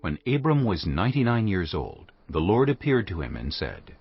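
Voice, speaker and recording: A man narrating scripture from a script in a measured, solemn storytelling manner. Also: low voice, big pitch swings, crowd noise. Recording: slightly dull sound, faint music.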